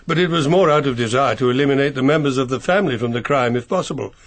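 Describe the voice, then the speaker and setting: An actor playing a discreet family solicitor with an English accent, speaking in a measured, polite, reserved way. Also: male voice, mid-range voice, close to an everyday voice. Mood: measured, grave. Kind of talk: radio mystery drama acting.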